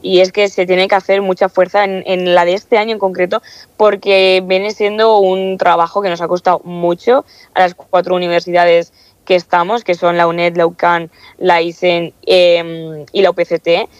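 A woman's voice: fairly low pitch, little pitch movement, moderate pace, no room sound, lo-fi sound. A woman in her early twenties with a Spanish accent from Spain, speaking earnestly as a student representative answering interview questions. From Castilla-La Mancha, Spain. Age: early 20s. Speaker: student council president being interviewed.

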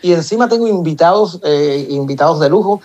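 A middle-aged Cuban man, an improvising poet, answering interview questions in an animated way with frequent restarts. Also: fairly high pitch, brisk, lo-fi sound. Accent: Cuban Spanish. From Cuba. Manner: animated, lots of repeated restarts.

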